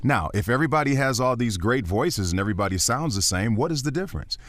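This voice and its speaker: A man with a baritone voice, a voiceover actor and teacher, talking as a podcast guest in an earnest, encouraging, emphatic way. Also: lively intonation, fast, fairly bright tone, dry recording.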